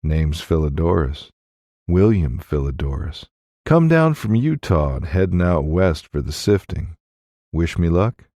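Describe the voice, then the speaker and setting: Man playing a deep, wry Old West drifter. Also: sing-song, measured pace, warm tone, dry recording, studio quality, heavily acted. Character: laconic, cocky young male Old West drifter. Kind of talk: audiobook character voice.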